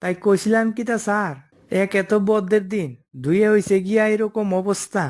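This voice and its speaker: A man's voice: sits high, steady tone, normal speed, darkish tone, close to an everyday voice. A man playing a scheming cartoon office underling. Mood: wheedling. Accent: eastern Bengali dialect. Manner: wheedling, overconfident. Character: scheming, cocky male office underling, flattering and pushy. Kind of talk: comic cartoon dialogue.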